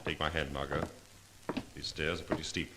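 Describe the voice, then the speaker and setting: A man's voice: very deep voice, level tone, moderate pace, noisy background. An actor playing a bold, cool-headed male sleuth in a clipped, authoritative 1940s radio drama style. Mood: resolute. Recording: vintage 1940s radio recording.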